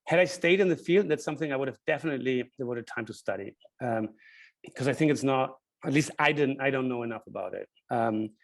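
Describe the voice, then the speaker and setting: Male guest academic, German accent, bilingual German-Spanish native, gravelly creak, thoughtful halting answers. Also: mid-range voice. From grew up with German and Spanish. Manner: halting, repeats words while thinking. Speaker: guest speaker, linguist. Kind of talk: thoughtful spontaneous question-and-answer.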